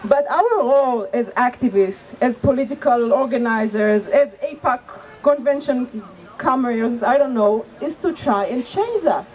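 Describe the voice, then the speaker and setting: A woman's voice: a little high, animated, a little slow, darkish tone, rough recording. A woman from Israel, a Hebrew speaker, speaking earnestly and emphatically as an activist in an outdoor street debate. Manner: earnest, emphatic, repeats points for weight. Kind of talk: impassioned street debate speech.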